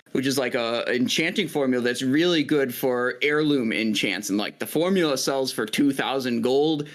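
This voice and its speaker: A man of middle pitch guesting on a casual gaming podcast. He talks in a steady, fairly monotone, informative way, with lots of fillers and restarts.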